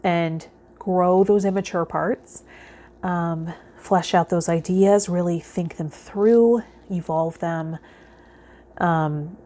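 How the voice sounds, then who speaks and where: Lower-pitched woman hosting a tarot vlog. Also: steady tone, slow, dark tone. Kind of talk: calm guided explanation, part read, part informal.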